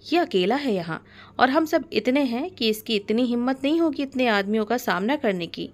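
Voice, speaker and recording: A woman's voice: on the high side, lively intonation, moderate pace, pitched above the performer's own voice, natural voice. A hot-headed teenage boy from a storybook drama, played by a woman narrator. He is defiant and argues back in protest.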